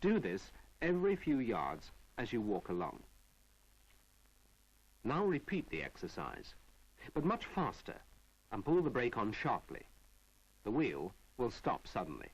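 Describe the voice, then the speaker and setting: A British man reading a measured, didactic narration for an instructional film from the 1970s. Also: medium pitch, huge pitch swings, slightly rough, poor audio.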